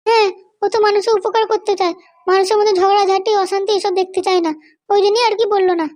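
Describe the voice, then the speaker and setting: A man voicing a bossy, short-tempered middle-aged cartoon wife with a West Bengal accent and a pitched-up voice. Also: level tone, fast, darkish tone, no room sound, studio quality. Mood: insistent. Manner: scolding, threatening. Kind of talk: comic cartoon dialogue.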